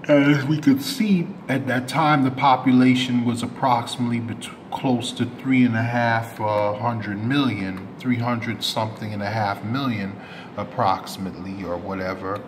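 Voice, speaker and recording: A man hosting a community news channel, giving unscripted commentary to subscribers in a rambling way, often correcting himself with rough approximations. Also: medium pitch, steady tone, normal speed. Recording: clear background noise, ambient room sound.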